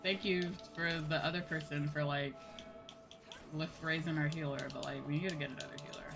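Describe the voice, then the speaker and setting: Girl streamer w a pretty low voice just rambling casually over game music. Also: fairly even intonation, fairly quick, creaky. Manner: rambling, informal, thinks aloud. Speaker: livestreamer playing an online game. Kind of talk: casual livestream chat with game commentary. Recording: music behind the voice.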